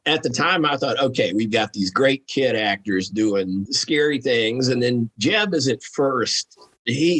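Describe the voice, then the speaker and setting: A man's voice: medium pitch, fairly bright tone, slightly rough. A man talking casually and frankly as a podcast guest, with salty, informal phrasing. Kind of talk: casual conversational commentary.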